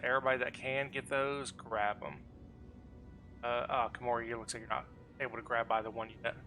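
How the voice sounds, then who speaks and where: A man's voice: medium pitch, little pitch movement, brisk, bright, forceful tone, soft background music. A man streaming a game and organizing his group in casual, self-deprecating voice chat.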